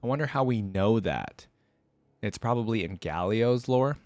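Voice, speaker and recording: A man's voice: lowish voice, expressive, moderate pace, darkish tone, dry recording. A man hosting a reaction video with casual, informal commentary, musing aloud into a close microphone.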